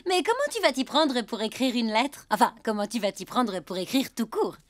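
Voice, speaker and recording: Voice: mid-range voice, normal speed, close to an everyday voice. An actor voicing a fussy, sceptical and pedantic house pet in a cartoon. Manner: pedantic, animated. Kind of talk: French-dubbed cartoon acting. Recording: loud cartoon music behind.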